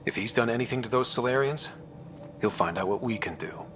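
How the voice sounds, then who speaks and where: An actor playing an earnest young space explorer and leader in a sci-fi game, casual but resolute. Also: male voice, medium pitch, expressive, brisk, fairly bright tone, natural voice. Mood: determined. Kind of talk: cinematic sci-fi game acting. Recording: clear background ambience noise.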